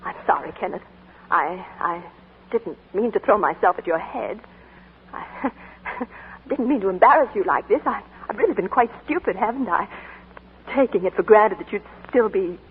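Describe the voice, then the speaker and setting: A woman's voice: medium pitch, animated, moderate pace, slight background noise, natural voice. A woman playing a heartbroken young woman in love. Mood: hurt. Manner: emotional, breathless and pleading. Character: young woman waiting for her soldier sweetheart, heartbroken. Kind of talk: 1940s radio acting. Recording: old lo-fi radio broadcast.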